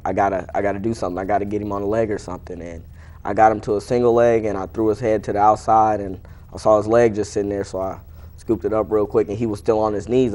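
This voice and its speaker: A man's voice: on the low side, a little mellow. A young man, an athlete being interviewed, answering casually in a plain, matter-of-fact, informal way.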